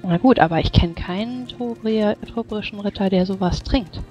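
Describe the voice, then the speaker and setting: Gentle, coaxing woman, slightly dull recording. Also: mid-range voice, normal speed. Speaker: tabletop game player. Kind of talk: in-character improvised dialogue. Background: faint music.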